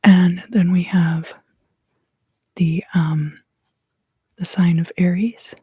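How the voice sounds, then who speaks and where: A woman from the southern US East Coast giving a soft, unhurried ASMR card reading in whispers, often pausing. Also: fairly low pitch, steady tone, breathy edge, no room sound, studio quality. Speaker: tarot reader and ASMR creator.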